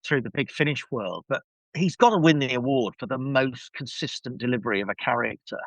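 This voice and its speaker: A man's voice: medium pitch, lively intonation, fairly quick, a bit bright, dry recording, poor audio. A man speaking as a podcast guest in a casual review chat, effusive and enthusiastic in the way he talks.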